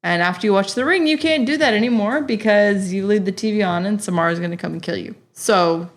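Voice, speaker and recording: A woman guest on a horror podcast, telling stories in casual, lively chat. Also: medium pitch, fairly quick.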